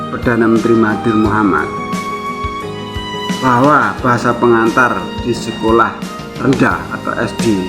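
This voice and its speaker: Indonesian man explaining over loud marimba music. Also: mid-range voice. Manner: conversational, repeats phrases for emphasis, rhetorical questions. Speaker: YouTube commentator, host. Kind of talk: informal opinion monologue, explaining to viewers. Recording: loud tinkling mallet music under voice. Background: loud glockenspiel and marimba music.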